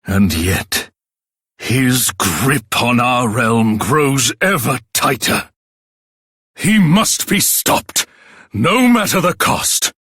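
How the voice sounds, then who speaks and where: A man's voice: mid-range voice, animated, very slow, pushed and bright, husky, no echo, studio quality, full character voice. An actor playing a solemn, pompous king in a fantasy game, with a stately, declamatory delivery. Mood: grave, commanding. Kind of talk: theatrical fantasy game voice acting.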